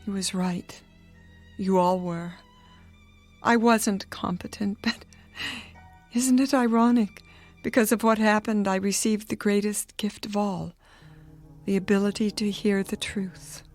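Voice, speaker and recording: An actress playing a frail, suspicious invalid wife in a radio drama, intense and bitter. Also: female voice, medium pitch, fairly even intonation, unhurried, faint music behind, natural voice. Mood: fearful, bitter. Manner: wary, sighing, intense. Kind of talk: modern radio drama acting.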